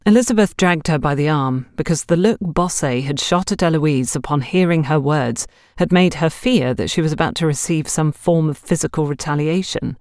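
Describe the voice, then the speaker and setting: A woman's voice: low voice, moderate pace, hardly any pauses, a little mellow, no room sound, clean studio sound. A woman reading a scripted mystery story aloud as an audiobook narrator.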